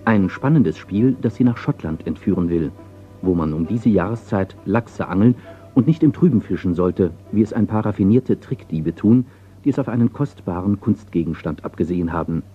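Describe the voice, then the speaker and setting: A man's voice: low voice, expressive, moderate pace, warm tone. A man announcing a radio crime play in a scripted introduction. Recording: dull radio recording. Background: music.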